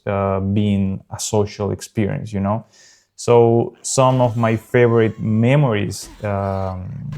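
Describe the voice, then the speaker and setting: Gaming host, man in his thirties, South American Spanish accent, lowish flat voice, earnest and unpolished. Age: early 30s. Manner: earnest, unpolished, frequent pauses. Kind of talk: casual talk over gameplay.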